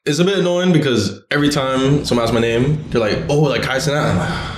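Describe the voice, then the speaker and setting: A man guesting on a casual podcast chat, quick and agreeable. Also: medium pitch, echoey room.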